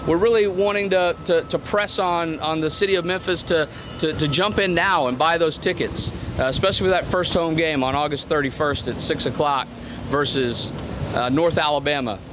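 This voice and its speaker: A middle-aged man answering press questions outdoors, halting with many repeated words and fillers. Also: on the high side. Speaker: interim athletic director. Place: outdoors at a stadium construction site. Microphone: podium microphones on a stand. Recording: outdoor traffic noise behind.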